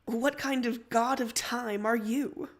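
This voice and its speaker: A narrator voicing a composed, slyly teasing teenage girl with a patient, purring, measured delivery in a story reading. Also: medium pitch, steady tone, pitched above the performer's own voice.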